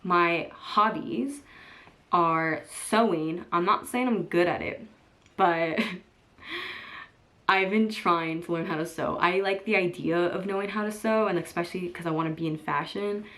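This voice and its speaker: A woman in her twenties chats to camera about herself in a relaxed vlog. Her voice sits a little low, and she rambles warmly, filling gaps with "like" and breaking into small laughs. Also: measured pace. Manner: chatty, lots of "like" and "um", laughs easily. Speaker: vlogger. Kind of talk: casual get-to-know-me chat.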